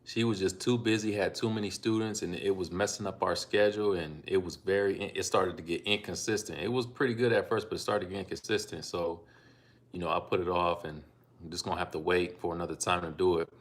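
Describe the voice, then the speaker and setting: Deep-voiced man chatting casually on a phone stream. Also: steady tone. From Ohio. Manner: laid-back, unhurried, with frequent ums and asides. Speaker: livestreamer and language learner. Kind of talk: casual live-stream chat reading donations.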